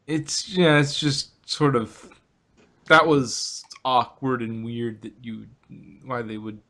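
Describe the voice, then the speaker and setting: A man's voice: medium pitch, fairly even intonation, slow pace, sharp tone. A man casually chatting as a podcast co-host, hedging and hesitant, with many fillers. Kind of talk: casual chat.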